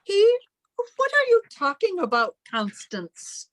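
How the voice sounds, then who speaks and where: High, swooping, possessive older sister played by an actress. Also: female voice, huge pitch swings, slow, no echo, exaggerated voice, rough recording. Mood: shocked, reproachful. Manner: theatrical, reproachful, wheedling. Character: possessive, sanctimonious, guilt-tripping older sister Emma. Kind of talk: old-time radio melodrama acting.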